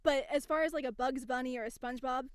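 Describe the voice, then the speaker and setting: A woman voice actor and casting director, a podcast guest, explaining her craft in casual, animated talk. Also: sits high, fast talker, clear, resonant tone, no echo, clean studio sound. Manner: animated, explains with quoted examples.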